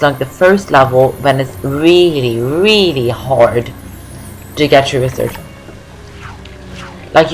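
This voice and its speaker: A young woman talks through a video game. She is chatty and rambling, often repeating herself, with music playing clearly behind her. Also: extremely low pitch, rough recording. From Norway. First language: Norwegian. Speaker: gaming video host. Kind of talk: casual game walkthrough commentary.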